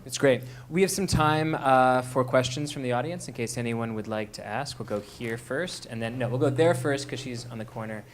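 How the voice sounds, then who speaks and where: Male moderator, mid-pitched voice, halting live panel questions, faint noise behind. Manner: halting, many fillers and restarts.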